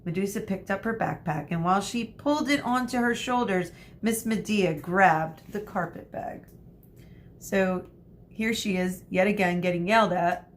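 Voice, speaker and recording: A woman teacher reading a storybook aloud to students, adding explanatory asides in a deliberate way. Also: mid-range voice, slight background noise.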